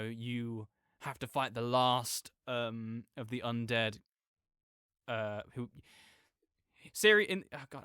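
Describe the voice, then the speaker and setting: A man's voice: mid-range voice, a bit bright, clean tone, no echo, clean studio sound, natural voice. A man playing a chatty, nerdy roommate who rambles enthusiastically about a video game in a casual, digressive audio roleplay monologue. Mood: earnest, rambling, then rambling explanatory.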